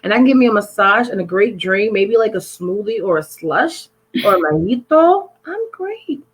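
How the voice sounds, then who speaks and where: A woman's voice: lively intonation, normal speed, slightly rough, poor audio. A woman chatting casually, playful and emphatic, with big swings in her voice. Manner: emphatic and playful, swinging up high on quoted lines. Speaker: guest in conversation. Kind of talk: casual chat.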